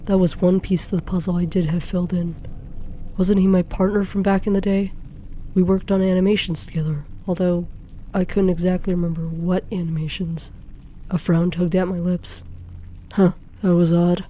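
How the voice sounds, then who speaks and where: A woman reads dramatic first-person fiction with a soft-edged, mellow tone. Her pitch stays fairly flat while she phrases each line deliberately for suspense. A faint noise sits behind the voice. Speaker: fan fiction narrator.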